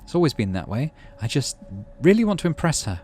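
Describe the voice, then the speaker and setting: A man's voice: on the high side, sing-song, brisk, darkish tone, voice pushed up. A man reading the lines of a proud, prickly teenage girl scientist in a game, in a near-plain voice. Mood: earnest. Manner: curt and defensive.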